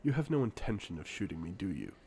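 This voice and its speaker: A man playing a suave, confident secret agent in a spy audio drama, speaking in a playful, theatrical, deliberate way. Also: low voice, big pitch swings, darkish tone, a little hiss or hum, full character voice. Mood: wry, composed. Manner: smooth-talking, playful, theatrical, deliberate phrasing. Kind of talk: scripted one-sided audio roleplay, spy drama.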